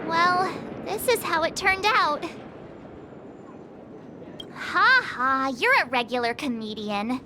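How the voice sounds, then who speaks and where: Very high, breezy young girl. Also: sharp tone, a little hiss or hum, exaggerated voice. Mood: wry. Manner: bemused, chiding, chatty. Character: breezy, teasing young female character. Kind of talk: video game voice acting.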